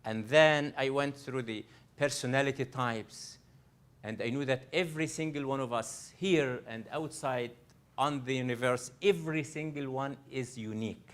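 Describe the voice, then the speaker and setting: A man's voice: medium pitch, normal speed. A man from Egypt, a coach presenting a live workshop to an audience. He speaks earnestly, often restarting and repeating phrases as he addresses the room directly. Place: library event room.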